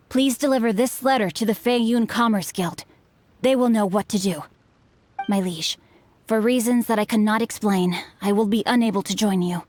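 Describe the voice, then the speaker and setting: Actor as a lofty bookish young swordsman, light voice, formal and measured. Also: high voice, a little hiss or hum, lightly acted. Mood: earnest. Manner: formal, stately, measured and earnest. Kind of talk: video game voice acting.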